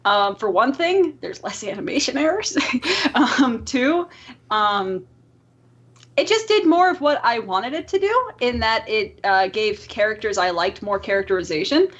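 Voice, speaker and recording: Woman, higher-pitched voice, casual podcast co-host, animated, playfully exaggerated delivery. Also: a little slow, muffled recording.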